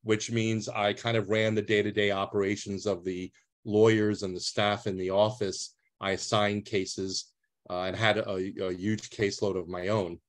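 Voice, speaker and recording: A man's voice: on the low side, flat delivery, moderate pace. A man, giving an earnest, plain-spoken talk over a video call as a retired public defender. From US East Coast.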